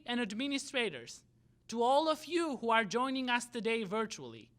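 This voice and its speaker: A young man with an Albanian accent, giving a prepared graduation speech as student body president in measured, deliberate phrases. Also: extremely high pitch, bright, forceful tone.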